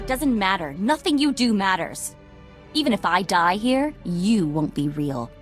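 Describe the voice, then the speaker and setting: A woman's voice: mid-range voice, animated, a little slow, faint music behind, natural voice. An actress playing a scheming, self-obsessed young female criminal in a video game, with an intense, dramatic delivery. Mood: bitter contempt.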